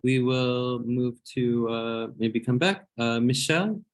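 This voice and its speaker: A man hosts a seminar over a video call. He speaks hesitantly, often pausing with fillers and apologizing. His voice sits at an ordinary middle pitch. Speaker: seminar host. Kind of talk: online seminar introductions.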